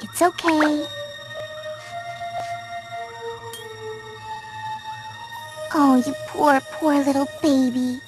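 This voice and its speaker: A woman voicing a sweet, gentle, girlish pony in a children's cartoon, with a childlike manner. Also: high voice, fairly even intonation, measured pace. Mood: tender. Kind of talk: animated children's cartoon voice acting. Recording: music behind the voice.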